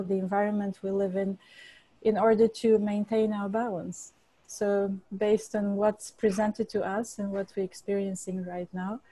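A woman's voice: mid-range voice, dark tone, rough recording. A woman from Bulgaria, a chef and cookbook author, answering interview questions earnestly with frequent restarts.

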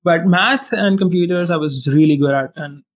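A man in his twenties from India whose first language is Hindi, a guest in a relaxed interview, reflecting on his past and often saying "like" and "you know". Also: a little high, normal speed. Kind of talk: casual interview chat.

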